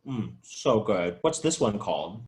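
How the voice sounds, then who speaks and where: An actor playing an earnest, geeky video store clerk, speaking conversationally in a comedy table read. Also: male voice, on the low side, big pitch swings, measured pace, a little mellow. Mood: animated.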